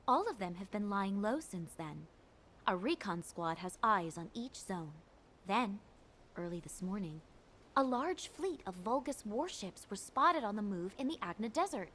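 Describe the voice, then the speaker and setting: A woman's voice: medium pitch, slight background noise, close to an everyday voice. A woman voicing an earnest, caring military commander giving formal, composed mission briefings in a video game.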